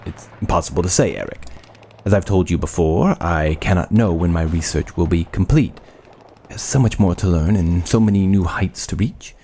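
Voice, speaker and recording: A man acting a cold, obsessive mad scientist in a horror audio drama. The voice is very deep and dark, with clinical, formal phrasing. A faint ambient noise sits behind it. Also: normal speed. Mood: coolly absorbed.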